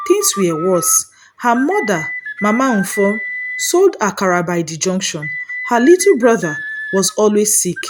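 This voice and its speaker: Nigerian lady telling a funny dramatic tale, lively high-ish voice, music behind her. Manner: expressive, playful, with Pidgin phrases. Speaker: storyteller. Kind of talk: dramatic, humorous scripted folktale narration.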